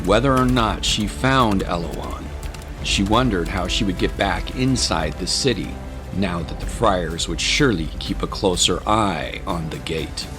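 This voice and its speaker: A man with a fairly low voice narrates an audio drama. His reading is scripted, literary and dramatic. Music is clearly audible behind him.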